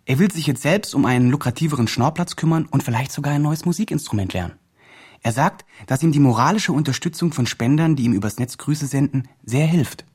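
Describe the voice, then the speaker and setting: A man's voice: medium pitch, animated, a little fast, a little mellow, breathy edge. A man playing an earnest, emphatic promoter who presents a homeless man's online fundraising campaign in a radio drama. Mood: upbeat, promotional. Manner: earnest, emphatic, self-justifying. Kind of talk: scripted radio drama, mock video-blog narration.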